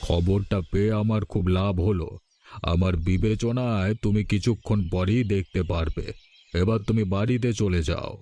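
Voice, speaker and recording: A man's voice: lowish voice, normal speed, mellow, lightly acted. A man playing a self-important, profit-minded village bigwig in a cartoon moral tale, talking down to people. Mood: calculating, pleased.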